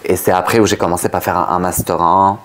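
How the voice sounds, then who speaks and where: Young adult man, low voice, interview guest, conversational, rephrases often. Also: level tone, fast, fairly bright tone.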